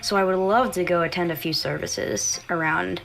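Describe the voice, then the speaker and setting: A woman's voice: lowish voice, normal speed, vocal fry, a bit bright, poor audio. A woman from the United States chatting casually as a livestream guest, effusive and rambling. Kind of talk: casual chat.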